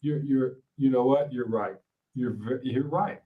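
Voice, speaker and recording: Ohio-born male host, warm agreeable interviewer, mid-range voice. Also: mid-range voice, measured pace, distant mic, narrow, tinny audio. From Ohio, USA. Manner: affirming, frequent agreement, gracious. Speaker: podcast host. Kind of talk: casual interview.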